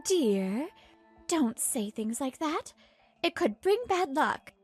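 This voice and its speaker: A woman's voice: sits high, sing-song, slow pace, darkish tone, heavily acted. A frank older mother voiced by a woman gives cautionary advice in a manga dub. Music plays behind her. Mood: cautioning. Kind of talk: scripted manga dub dialogue.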